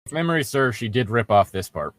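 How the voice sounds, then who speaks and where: A man's voice: mid-range voice, lively intonation, quick pace, no room sound, clean studio sound. A man reading a story aloud as a show co-host, breaking in with sarcastic, nitpicking commentary in a casual way. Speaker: co-host of a comedic fan fiction reading show.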